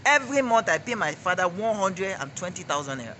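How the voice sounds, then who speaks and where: Young Nigerian man, twenties, Nigerian accent; high, bright voice, emphatic and preachy, testimony-style interview. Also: sing-song, fast, a little hiss or hum. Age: 20s. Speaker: network marketing director.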